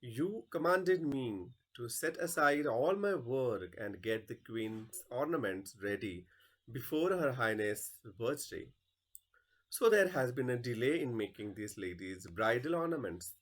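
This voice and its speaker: A desperate goldsmith from a stage play, voiced by a man reading aloud. He is cranky yet servile, pleading in drawn-out phrases. His pitch rises and swings as he begs. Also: animated.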